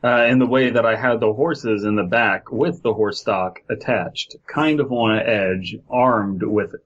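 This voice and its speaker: A man's voice: fairly low pitch, measured pace, a bit bright. Sarcastic man on a slightly dull podcast recording. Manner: sarcastic, crude joking. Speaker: podcast co-host. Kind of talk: comedic story reading with casual asides.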